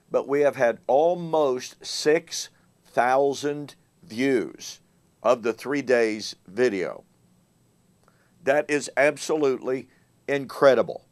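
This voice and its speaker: A man hosts a casual morning Bible study, his voice still thin and strained from a recent bout of laryngitis. He presses his points with emphasis, repeating words and dropping in fillers as he goes. His pitch sits in an ordinary middle range. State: weak voice recovering from laryngitis. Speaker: host. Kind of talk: casual Bible teaching monologue.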